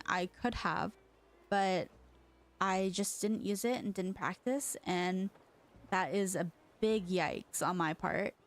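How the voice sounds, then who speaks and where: A young woman from China whose first language is Chinese, streaming in a rambling, casual chat full of fillers and restarts. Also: medium pitch.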